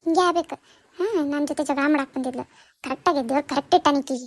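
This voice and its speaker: A woman's voice: sits high, quick pace, no room sound, close to an everyday voice. A woman playing a spiteful, gloating relative in a family drama, taunting smugly with a North Karnataka accent. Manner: taunting, smug.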